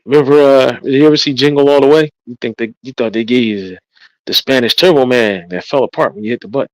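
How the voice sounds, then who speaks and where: A man's voice: medium pitch, quick pace, dry recording, low-quality recording. A man co-hosting a casual chat, agreeable and joking, often repeating his words. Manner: agreeable, laughing, repeats himself. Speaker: podcast co-host.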